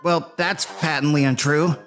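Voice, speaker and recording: Man playing a sharp, exasperated cartoon crewmate. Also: a little high, steady tone, moderate pace, soft background music, natural voice. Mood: skeptical. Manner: exasperated, blunt. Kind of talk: cartoon voice acting.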